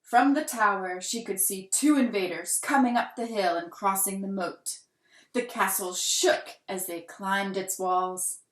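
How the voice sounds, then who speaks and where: A woman reading a children's picture book aloud with deliberate, dramatic emphasis and expressive delivery. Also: a little high. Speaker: children's storybook reader. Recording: roomy, echoing room sound.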